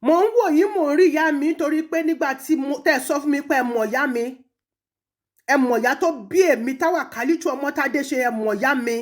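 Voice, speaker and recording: A woman with a Nigerian Yoruba accent, speaking accusingly and emphatically in an impassioned public rebuke, with pointed pauses. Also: sits high. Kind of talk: impassioned public rebuke, switching between languages.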